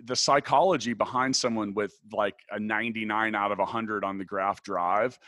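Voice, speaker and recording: A man with a big, deep voice answering interview questions thoughtfully, restarting his sentences often. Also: fairly even intonation, normal speed, sharp tone, no room sound, studio quality. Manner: thoughtful, many restarts and fillers. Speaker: podcast guest, business founder.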